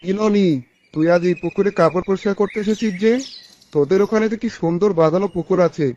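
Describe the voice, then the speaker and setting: A man's voice: high voice, quick pace, slight background noise, close to an everyday voice. A man voicing a friendly, frank village washerman in a cartoon, chatting openly. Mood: chatty. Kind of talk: cartoon character voice acting. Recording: dull audio, soft music, birdsong.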